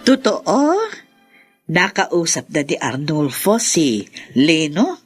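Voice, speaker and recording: Lively, fussing Filipino woman friend. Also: low-pitched, slow pace, breathy edge, soft background music, exaggerated voice. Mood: concerned. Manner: expressive, concerned, exclaiming. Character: caring, fussy older female best friend. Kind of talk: Filipino radio drama acting.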